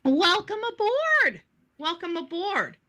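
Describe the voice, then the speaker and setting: Super high pitched lady streamer, swoops all over, theatrical casual chat. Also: sing-song, takes it slowly, bright, forceful tone. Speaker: online livestreamer.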